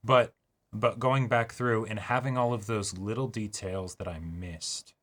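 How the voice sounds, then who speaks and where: A man co-hosting an anime reaction show, talking casually and reflectively in halting phrases, often checking in with his listener. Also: lowish voice, fairly even intonation. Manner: halting, reflective, checks in with "right" and "you know".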